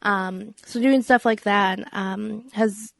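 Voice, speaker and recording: A woman's voice: mid-range voice, steady tone, slow, gravelly, no echo. A woman comedian chatting casually as a podcast guest, laughing often and filling her speech with "like.". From Minneapolis area, USA.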